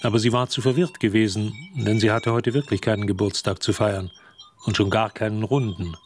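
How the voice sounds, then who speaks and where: An actor narrating a radio drama as a detached, measured literary storyteller. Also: male voice, lowish voice, fairly even intonation, faint music behind, close to an everyday voice.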